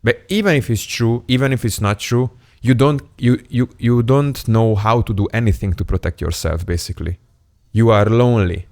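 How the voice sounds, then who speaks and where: A man's voice: mid-range voice, normal speed, a little mellow, no room sound, studio quality. A young man, from Hungary, telling a personal story to camera in a playful, self-mocking, candid way. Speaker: self-improvement YouTuber. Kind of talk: casual storytelling monologue to camera.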